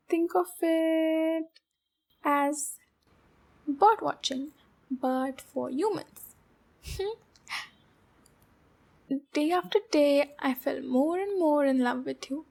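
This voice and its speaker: A woman playing a shy, lonely, obsessive demon girl in love, speaking slowly and intimately in an ASMR roleplay with a breathy, halting delivery. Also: high voice, full character voice. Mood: lovesick, sheepish. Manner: slow, breathy, halting, with soft giggles.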